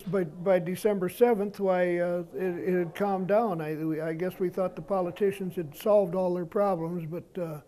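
Old american vet telling his story, pretty high voice, soft mellow tone. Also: steady tone, normal speed, few pauses. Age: 70s or older. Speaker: war veteran interviewee. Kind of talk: recollecting in an interview. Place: indoor interview studio. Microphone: lapel mic.

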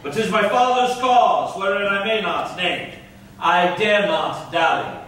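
Forceful, imperious prince, echoing hall. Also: male voice, high-pitched, measured pace. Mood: commanding. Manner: declamatory, commanding. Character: imperious Turkish prince demanding tribute. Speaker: actor in a staged reading. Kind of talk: classical stage acting. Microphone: far camera. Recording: very echoey hall, distant.

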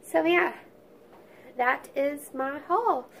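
Stuffy-nosed southern mom from oklahoma, high voice, chatty and homey, rattling off groceries. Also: female voice, drawn-out words, gravelly, slight background noise, low-quality recording. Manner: chatty, homey, listing items. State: congested, sniffly, crackly voice. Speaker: YouTube vlogger, mom. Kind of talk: casual grocery haul narration.

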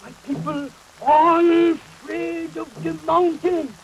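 A man's voice: very high-pitched, level tone, takes it slowly, lo-fi sound. An older man acting a grave, fearful old witch doctor in a 1930s horror film, solemn and ominous. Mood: grave warning. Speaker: film actor. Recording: noisy 1930s film soundtrack under rain. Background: rain storm.

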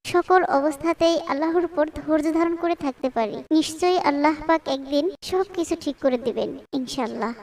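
Woman as a high-voiced, meek young cartoon bride. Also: normal speed, few pauses, a little mellow, recorded from a distance. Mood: reassuring. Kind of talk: cartoon voice acting.

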